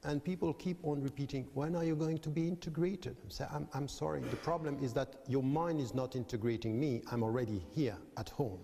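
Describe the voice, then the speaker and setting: A male academic from Switzerland answers in a measured, explanatory way, repeating phrases to stress his point. His voice is mellow and dark in tone. Long reverb trails behind him in a large hall. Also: medium pitch, vocal fry. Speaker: guest academic. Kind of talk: debate answers.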